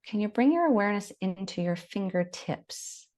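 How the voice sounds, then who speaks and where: A woman leading a guided body scan meditation, giving gentle, unhurried instructions with long pauses. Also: medium pitch, lively intonation.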